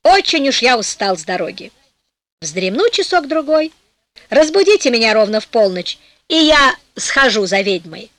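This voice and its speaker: A woman doing a brisk, clever soldier. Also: a little high, sing-song, fairly bright tone, dry recording. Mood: commanding. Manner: brisk, commanding, matter-of-fact. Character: bold, clever male soldier, weary and easygoing.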